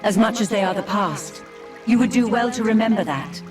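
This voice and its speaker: A woman plays an enigmatic female dragon who rules over dreams in a fantasy game. She speaks at a medium pitch in a measured, solemn and distant way. Music is clearly heard underneath. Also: animated, lightly acted. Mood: cool, cautioning. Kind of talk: fantasy game cutscene acting.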